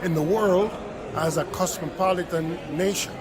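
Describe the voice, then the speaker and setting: Older man, halting delivery, slightly high; heavy crowd.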